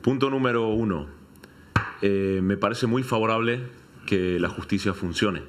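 A man from Argentina giving his opinion on a discussion panel, setting out points deliberately with pauses to find words. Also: lowish voice. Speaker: panelist, businessman. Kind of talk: conversational opinion in a round-table debate.

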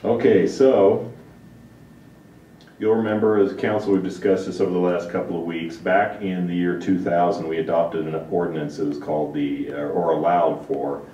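A man's voice: fairly even intonation, moderate pace, warm tone. A man presenting as a city manager, explaining matters in an informal, step-by-step way. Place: large indoor council chamber. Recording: dull, echoey distant chamber pickup with rumble.

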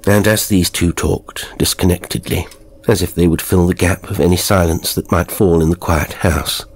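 A man narrating a horror story in a measured, grave, literary storytelling style. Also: low voice, fairly even intonation, slight background noise. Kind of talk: scripted dramatic storytelling narration.

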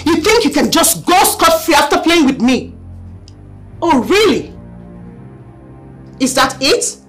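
A woman's voice: lowish voice, animated, measured pace, faint music behind. A woman acting as a mocking, threatening character who taunts someone with scornful laughs. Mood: taunting. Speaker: actor.

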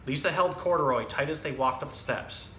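A man in his thirties with a bright, forward voice at an average pitch presents to children in a casual, plain-spoken way. He explains and reads as a zookeeper host would. The room is echoey, with faint noise behind him. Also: brisk. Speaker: zookeeper presenting an animal storytime. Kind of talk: casual educational talk and picture-book reading for children. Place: indoor room with hard walls and tiled floor.

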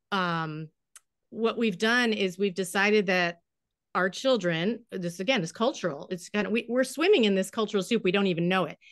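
A woman's voice: medium pitch, a bit bright, no room sound. Brief: middle-aged woman guest, emphatic and folksy, dry close sound on a video call. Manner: emphatic, folksy, trails into restarts. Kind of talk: animated interview answers. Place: home library with bookshelves.

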